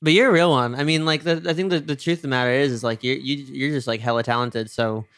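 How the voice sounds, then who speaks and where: A man from New York co-hosts a podcast in a smooth, clear voice, recorded dry and close. His pitch sits mid-range, and he chats casually and candidly, with the odd swear word. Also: quick pace, fairly bright tone, no echo. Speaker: podcast co-host. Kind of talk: casual chat.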